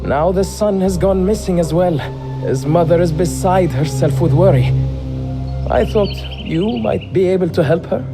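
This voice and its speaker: An actor playing a friendly, secretive male tea house servant who talks in a hushed, conspiratorial way. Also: high voice, moderate pace, music in the background. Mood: earnest.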